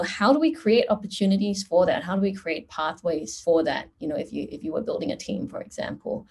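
A middle-aged woman from Singapore, a guest answering interview questions in a thoughtful, measured way, often adding "you know". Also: lowish voice, gravelly, dark tone, slightly rough. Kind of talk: conversational interview.

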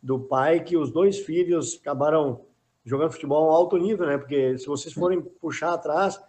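A man's voice: a little high, normal speed, dark tone. A middle-aged former footballer as a podcast guest, talking in a casual, hesitant way and often repeating words while he thinks. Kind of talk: casual interview chat.

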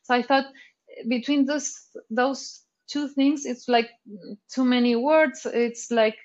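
A woman's voice: sits high, steady tone, takes it slowly, darkish tone. Hesitant Chilean woman, slightly muffled. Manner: hesitant, many restarts and fillers. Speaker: guest artist. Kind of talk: casual interview chat.

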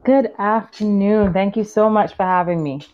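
A woman in her forties from Barbados, a physical therapist guest, explaining things in a steady way. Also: mid-range voice, moderate pace, lo-fi sound. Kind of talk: explaining in an interview.

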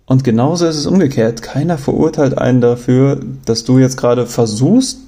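Mellow-voiced young German man musing. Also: medium pitch, normal speed. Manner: reflective, rambling with many fillers and restarts. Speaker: podcast host. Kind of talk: casual spoken monologue.

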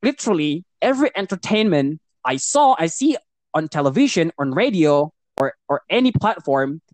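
A man's voice: a little high, big pitch swings, normal speed, darkish tone, no room sound. A young man from Indonesia chatting casually on a podcast, switching between Indonesian and English with lots of fillers and restarts. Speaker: podcast co-host. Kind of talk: casual bilingual podcast chat.

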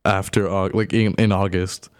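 A man's voice: deep voice, flat delivery, moderate pace, clear, resonant tone, no room sound, studio quality. A man in his early twenties chatting casually with a friend, talking hesitantly with many restarts. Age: 20s. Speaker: friend in conversation. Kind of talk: casual chat.

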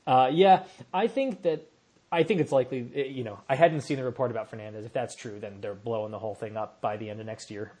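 A man's voice: mid-range voice, fast, slightly rough. A man co-hosting a casual sports podcast, his voice a little worn, laughing easily and reading out listener questions. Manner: relaxed, laughs often, reads listener questions. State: losing his voice. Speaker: podcast co-host.

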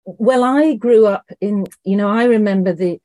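A middle-aged woman from Liverpool, answering interview questions thoughtfully and haltingly as she searches for words. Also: medium pitch, warm tone, no echo, low-quality recording. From Liverpool, England. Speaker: interview guest, writer and actress.

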